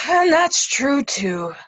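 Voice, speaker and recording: A woman acts out a worn-out old noble in a stage tragedy, resigned and despairing. The voice runs high, swinging in pitch, with slow delivery. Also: very animated, a bit bright, exaggerated voice. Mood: weary, resigned. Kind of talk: Shakespearean verse read in reader's theatre.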